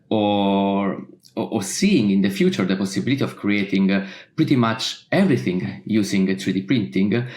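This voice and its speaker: A man from Italy with an Italian accent, answering questions in a podcast interview about his company, pausing often with fillers and restarts. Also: lowish voice, reverberant. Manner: hesitant, many "uh" fillers and restarts. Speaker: startup founder, guest.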